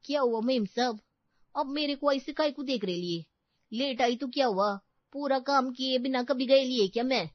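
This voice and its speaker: A woman acts out a cocky housemaid from a comic storybook tale, pitched high. She talks in cheeky street slang, showing off with total confidence, in a dry, slightly dull recording. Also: moderate pace, no room sound, lightly acted. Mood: defensive. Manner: cheeky, colloquial street-style Hindi with English words. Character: sassy, overconfident working-class housemaid woman, boastful.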